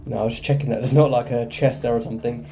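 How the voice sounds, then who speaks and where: A man's voice: medium pitch, fairly even intonation, rapid-fire, mellow, faint music behind. A man giving casual gameplay commentary as the host of a gaming video.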